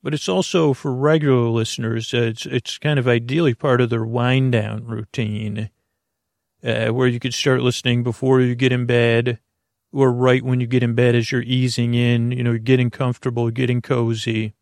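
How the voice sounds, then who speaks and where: Male sleep podcast host: gravelly creak, close dry sound, soothing and wandering monologue. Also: medium pitch, level tone, a little mellow, dry recording. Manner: meandering, halting, soothing, full of tangents. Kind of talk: slow rambling bedtime monologue.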